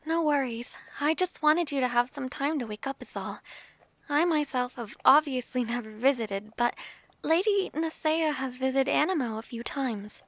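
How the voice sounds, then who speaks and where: A woman playing a gentle, well-mannered young princess in a fantasy audio drama, with formal, thoughtful speech. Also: high-pitched, moderate pace, no echo. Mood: reassuring.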